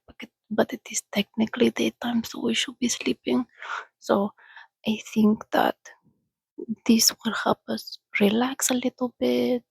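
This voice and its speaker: Soft, breathy, creaky-voiced female vampire, acted by a woman. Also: fairly high pitch, dry recording, heavily acted. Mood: soothing, sleepy. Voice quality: soft, breathy, often dropping to a whisper. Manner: unhurried, gentle, chatty, reassuring. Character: soothing, sociable female vampire, centuries old, well-mannered. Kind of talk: soft-spoken ASMR roleplay.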